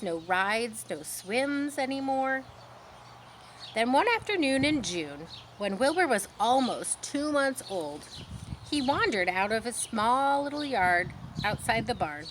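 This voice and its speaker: A woman reading a children's story aloud, pausing to explain things simply as if to young listeners. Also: on the high side, fairly bright tone, slight background noise. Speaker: storybook reader.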